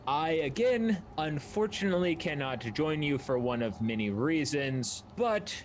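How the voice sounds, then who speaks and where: A man's voice: fairly high pitch, expressive, a little slow, slight background noise. A man voicing a friendly, sly guide in a tabletop game, with a casual, coaxing, theatrical manner. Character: friendly, sly male guide ushering adventurers onward.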